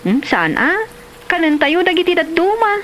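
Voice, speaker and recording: A woman's voice: very high-pitched, big pitch swings, normal speed, fairly bright tone, slight background noise, full character voice. A woman with an Ilocano accent playing a village mother and exasperated wife in a comedy drama. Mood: motherly.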